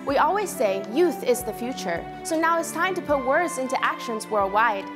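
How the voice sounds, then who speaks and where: A female news anchor reads her script in a steady, formal way. Her voice sits on the higher side, and a music bed plays underneath her. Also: normal speed.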